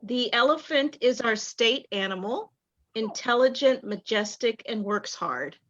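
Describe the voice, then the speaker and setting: A woman reading out a playwright's answers in an online talk back, warm and enthusiastic. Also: a little high, expressive, unhurried. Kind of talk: video call talk back. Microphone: video call. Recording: dull lo-fi call audio.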